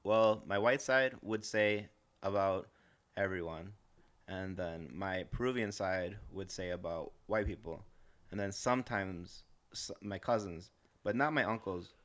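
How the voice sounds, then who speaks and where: A man's voice: deep voice, measured pace, a bit bright. An American man co-hosting a podcast, bantering casually with dry, teasing jokes and a hesitant delivery. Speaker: podcast co-host.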